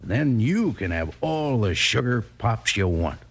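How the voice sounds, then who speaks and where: A man doing a folksy, exclamatory sales pitch as the announcer of a 1950s cowboy radio advertisement. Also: big pitch swings, unhurried, slightly rough.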